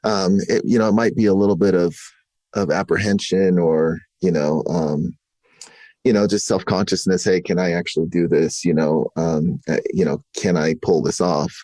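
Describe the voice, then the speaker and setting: A man hosting a podcast, chatting in a relaxed way, full of "you know" and fillers. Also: sits low, moderate pace, no echo. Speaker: podcast host. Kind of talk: casual interview chat.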